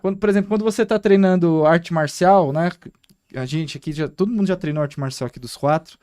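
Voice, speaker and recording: A Brazilian man with a fairly high voice talks through his ideas on a relaxed podcast. He explains eagerly, often stops to check that the others agree, and moves quickly from one example to the next. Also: smooth. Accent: Brazilian Portuguese. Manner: explanatory, animated, asks listeners to agree. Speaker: podcast co-host, acupuncturist. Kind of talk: casual podcast chat.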